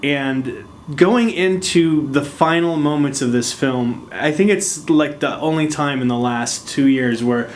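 A man's voice: on the high side, a little slow, a little hiss or hum. A man interviewing a film director, enthusiastic and casual, with long rambling questions. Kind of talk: press junket interview.

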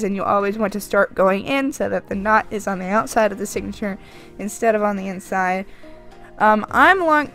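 A young woman with a stuffy, sniffly nose talks into a desk microphone in a casual, rambling voice-over. She jokes at her own expense in a mid-pitched voice while faint music plays underneath. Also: fairly bright tone. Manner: rambling, self-deprecating, chatty. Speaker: craft vlogger.

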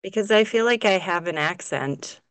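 A woman's voice: medium pitch, expressive, moderate pace. A woman co-hosting a casual podcast, answering in brief, understated remarks. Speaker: podcast co-host.

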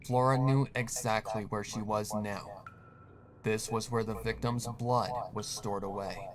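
A man's voice: on the low side, fairly even intonation, measured pace. A man reading a scripted horror story as the narrator. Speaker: fan fiction narrator.

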